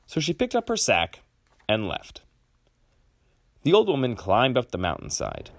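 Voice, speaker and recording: Very animated man reading a storybook. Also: medium pitch, creaky. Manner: animated, with dramatic pauses. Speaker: children's book reader. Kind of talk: expressive storybook read-aloud.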